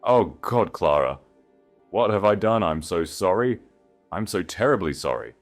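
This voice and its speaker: A man's voice: deep voice, very animated, measured pace, faint music behind, full character voice. A man voicing a weak, self-pitying male aristocrat in an audiobook, pleading and overwrought. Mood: broken, remorseful.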